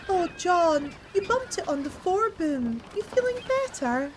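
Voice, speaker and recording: A woman playing a sharp-tongued but caring castaway in a comedy audio drama. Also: extremely high pitch, very animated, unhurried, slight background noise, heavily acted. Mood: concerned.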